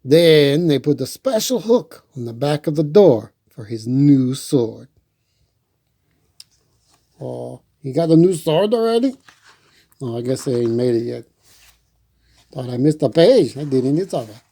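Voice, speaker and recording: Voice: medium pitch, animated, measured pace, long pauses, warm tone. A storytime host reading a picture book aloud and adding playful, rambling, chatty asides.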